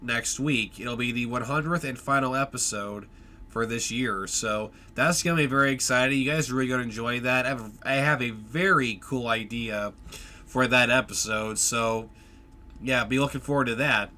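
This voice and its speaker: Nasal, bright-voiced man hosting a podcast. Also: medium pitch, moderate pace. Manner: upbeat, chatty, with frequent fillers and restarts. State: stuffy nose from a cold. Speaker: podcast host. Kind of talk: casual solo podcast talk.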